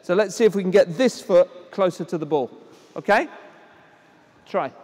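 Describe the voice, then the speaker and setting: A man coaching children at tennis drills, giving brisk encouraging instructions and projecting his voice loudly. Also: high voice. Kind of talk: on-court coaching demonstration. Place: indoor tennis hall at a conference. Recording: echoey hall, voice from a distance.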